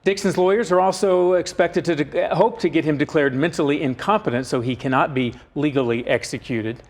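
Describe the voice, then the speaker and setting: A man's voice: a little high, a little fast. A man reading scripted TV news as an anchor.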